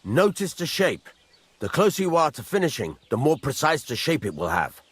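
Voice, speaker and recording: An actor playing a gruff middle-aged village blacksmith in a video game, husky, blunt and rustic, giving plain instruction. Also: male voice, a little high, huge pitch swings, normal speed, dry recording, exaggerated voice. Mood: patient, instructive. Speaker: master blacksmith. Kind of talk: video game character acting.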